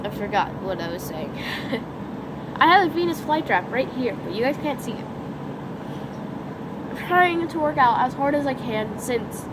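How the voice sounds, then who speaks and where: A woman's voice: high-pitched, expressive, measured pace, long pauses. Congested teen girl vlogging outdoors. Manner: rambling, lots of "like" fillers. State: congested, sniffly, sore throat. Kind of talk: unscripted rambling vlog. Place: outdoors next to a lake, during a lightning storm. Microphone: phone camera. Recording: outdoor phone recording with background noise. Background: outdoor background noise, thunder.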